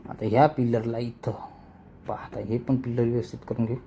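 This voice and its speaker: A man's voice: medium pitch, flat delivery, normal speed, mellow, slight background noise. A man with a rural Marathi accent from Maharashtra, giving a casual, colloquial step-by-step tutorial and often repeating words as he demonstrates. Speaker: animation tutorial creator.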